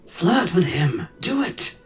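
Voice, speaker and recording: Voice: mid-range voice, very animated, slow pace. An actor voicing a mischievous, chaos-stirring adventurer in a video game, sly and goading. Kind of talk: video game character acting.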